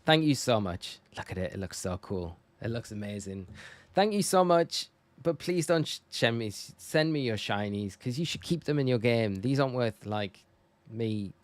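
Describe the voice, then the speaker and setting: A man's voice: medium pitch, animated, moderate pace, no room sound. A man from northeast England with a northern English accent, chatting casually and informally to viewers as a live game streamer. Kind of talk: casual livestream chat while gaming.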